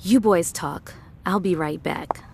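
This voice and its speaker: An actress playing a sassy, high-maintenance young female singer in a video game cutscene. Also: takes it slowly, darkish tone. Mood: sassy, pouting. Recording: clear car and ambient noise.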